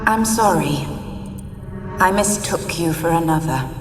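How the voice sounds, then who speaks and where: An actress voicing the mournful ghost of a young noblewoman, speaking in a slow, hushed, wistful way. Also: on the low side, lively intonation, background noise. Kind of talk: video game cutscene voice acting. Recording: echoey, distant, with music.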